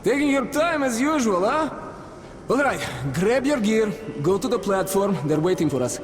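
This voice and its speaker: A man's voice: sits high, lively intonation, normal speed, in a large hall, faint music behind. A man playing a boisterous, teasing young comrade in a video game, jaunty and eager for adventure. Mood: impatient, teasing. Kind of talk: video game character dialogue.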